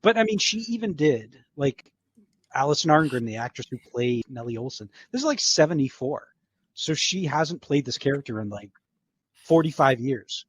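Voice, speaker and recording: A man's voice: mid-range voice, very animated, normal speed, no room sound. A man in a casual podcast chat as an interview guest, talking in a chatty way, trailing off and rephrasing with frequent "like". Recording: slightly dull, dry close recording.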